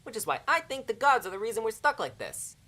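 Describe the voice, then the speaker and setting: Give the patron a high, bright female voice from an actress with lively swings in pitch. She is earnest and nervous, a chattering bystander in a fantasy audio drama. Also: fast, slight background noise. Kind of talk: dramatic audio fiction acting.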